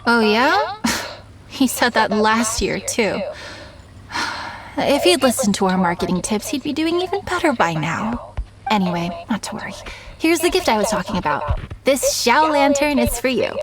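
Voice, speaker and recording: An actress playing a friendly, organised festival coordinator in a game, polite and gently amused. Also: female voice, fairly high pitch, sing-song, normal speed, a crowd in the background. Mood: warm. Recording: distant voice over game music.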